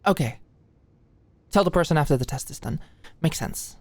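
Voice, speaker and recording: A woman's voice: low voice, animated, normal speed, a little mellow, dry recording. A woman playing an anxious, flustered young medical student in an intimate ASMR roleplay, with a hesitant, stammering, playfully defensive way of talking. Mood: awkward, earnest. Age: young adult. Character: anxious, flustered, endearing short female medical student study buddy.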